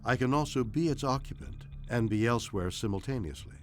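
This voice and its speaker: An actor playing an ancient, enigmatic alien entity in a game, speaking calmly and formally in measured, cryptic lines. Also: male voice, medium pitch, soft background music, close to an everyday voice. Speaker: voice pack character. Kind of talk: scripted game dialogue.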